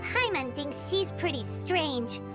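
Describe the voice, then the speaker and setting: A woman voicing a tiny, childlike fairy companion in a video game, squeaky, excitable and blunt. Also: slow pace, over music, heavily acted. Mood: cheeky, blunt. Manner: squeaky, refers to self in third person, exclaiming. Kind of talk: video game character acting.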